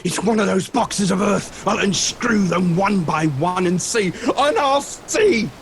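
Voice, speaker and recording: An actor playing a terrified, raving mad ship's mate in a horror radio play, with a strained high voice. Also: male voice, lively intonation, a little slow, slight background noise. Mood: frantic terror. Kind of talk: theatrical horror radio acting.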